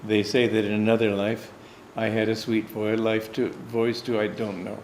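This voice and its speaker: A man's voice: lowish voice, little pitch movement, normal speed, a little mellow, slight background noise. An American man, a swami and spiritual teacher, recounting a personal anecdote in a meandering storytelling way. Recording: echoey room, faint ambience.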